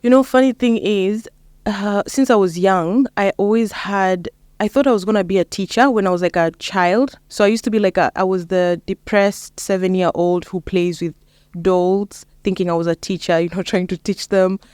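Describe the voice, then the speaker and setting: A young woman with a Kenyan accent answers as a guest in a casual interview. Her voice is clear and smooth, at a middle pitch, recorded dry and close. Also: fairly even intonation, moderate pace, a little mellow, no room sound. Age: young adult. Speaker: interview guest, lawyer and mentor.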